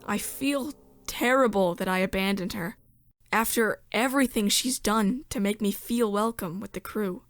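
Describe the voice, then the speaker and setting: A woman's voice: medium pitch, moderate pace, natural voice. A woman playing a sensitive, resolute outsider who narrates a space drama in the first person. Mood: earnest, tense.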